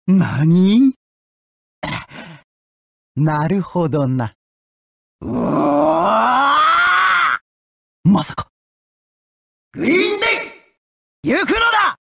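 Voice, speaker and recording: An actor playing a sadistic, deranged surgeon villain in a video game, theatrical, moving between silky menace and manic screaming. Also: male voice, sits high, gravelly, bright, forceful tone, slightly rough, no echo, studio quality, full character voice. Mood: frenzied, commanding. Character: sadistic, deranged male villain surgeon, gleefully obsessed with despair. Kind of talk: Japanese video game voice acting.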